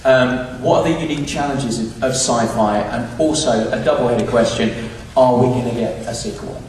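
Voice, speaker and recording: A man's voice: medium pitch, moderate pace, darkish tone. A man hosting a live question-and-answer event, addressing the guests and the audience. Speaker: Q&A host. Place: large cinema auditorium on a PA. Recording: very echoey cinema, distant, faint noise.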